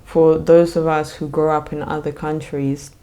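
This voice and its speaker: A woman's voice: deep voice, flat delivery, moderate pace, dark tone. A woman from Colombia co-hosting a podcast, talking in a reflective, agreeable way. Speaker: podcast co-host. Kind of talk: casual chat.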